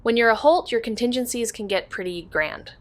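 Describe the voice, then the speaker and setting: A woman's voice: on the high side, fairly quick, close to an everyday voice. A woman playing a guarded, weary young amateur detective in an audio drama, understated and dry in her delivery.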